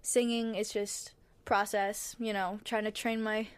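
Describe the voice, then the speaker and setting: A teenage girl, a young dancer, answering interview questions in a hesitant, soft-spoken way with frequent fillers. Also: medium pitch, little pitch movement, measured pace, smooth. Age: teens. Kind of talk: casual interview.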